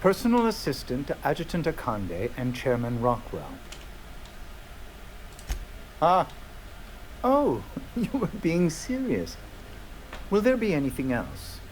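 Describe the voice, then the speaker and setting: A prissy personal assistant in a video game, formal and condescending. His voice sits fairly high and he fusses over every phrase, with faint ambience behind him. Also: wildly swooping pitch, slow, long pauses, a little hiss or hum, exaggerated voice, poor audio. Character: prissy, officious personal assistant to colony leaders. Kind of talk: video game character dialogue.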